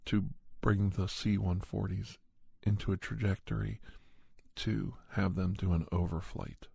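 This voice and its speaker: A man narrating a first-person horror story in ASMR style, with a measured, halting delivery in short phrases. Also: deep voice, flat delivery, gravelly, warm tone, husky, no room sound. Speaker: podcast host and storyteller.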